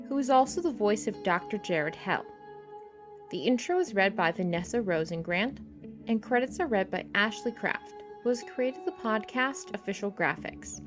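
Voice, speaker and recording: Brief: a woman reading podcast credits in a steady voice over music. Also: mid-range voice. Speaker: credits reader.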